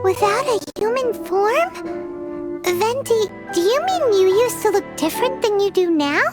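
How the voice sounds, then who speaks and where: A tiny fairy girl for an anime game, extremely high and squeaky. She is excitable and quick to get huffy, with lively swings in pitch. Her words come drawn out at an unhurried, childlike pace, over music. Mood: curious. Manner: squeaky, speaks of herself in third person.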